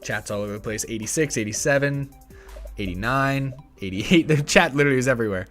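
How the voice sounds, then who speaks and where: A young man in his twenties hosting a casual livestream, thinking aloud and correcting himself, a bit hoarse, on a close studio mic in a small room. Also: mid-range voice, quick pace, fairly bright tone, faint music behind. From United States. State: slightly hoarse, tickle in throat. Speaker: livestream host. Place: small home streaming room. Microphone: headset and studio mic on arm.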